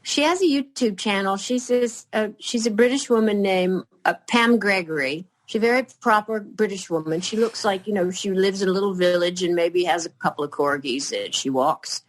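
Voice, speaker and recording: An older woman chatting as a podcast guest, rambling and halting, with many fillers and restarts. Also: mid-range voice, poor audio. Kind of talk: casual chat.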